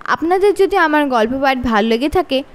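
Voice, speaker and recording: A woman storyteller with a Bengali accent reads a funny children's tale aloud. Her voice sits high and moves all over the place. She changes her delivery for each character. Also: fairly quick. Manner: expressive, shifts pitch for each character.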